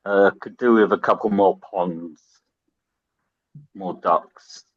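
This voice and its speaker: A man's voice: sits low, steady tone, slow, no echo. A man standing as a council candidate, speaking hesitantly with dry humour, recorded with a dull, muffled sound. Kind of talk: speaking at a public meeting.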